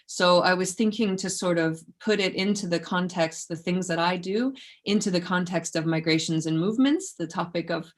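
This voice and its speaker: A woman's voice: on the low side, normal speed, hardly any pauses. A woman researcher giving an informal academic talk in a conversational, self-effacing way. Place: indoors in an office, online presentation.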